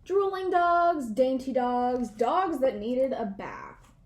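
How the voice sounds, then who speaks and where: A woman reading a picture book aloud to children in an expressive storytelling style. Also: high voice, takes it slowly. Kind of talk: animated children's read-aloud narration.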